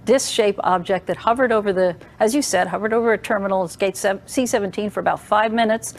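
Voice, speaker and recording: Creaky-voiced American woman being interviewed. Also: mid-range voice, fast talker. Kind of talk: interview explanation.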